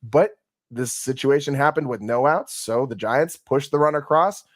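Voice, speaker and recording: A man hosting a sports podcast, hoarse from yelling the night before, talking through a game recap in a conversational way with frequent restarts. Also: medium pitch, normal speed, dry recording, clean studio sound. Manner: conversational, stumbles and restarts often. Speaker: podcast host. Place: home studio.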